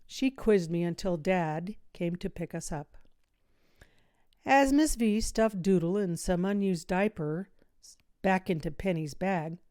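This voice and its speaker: Measured woman storyteller, dry close sound. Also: on the low side, warm tone, no room sound. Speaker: audiobook reader, teacher. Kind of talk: expressive scripted story narration.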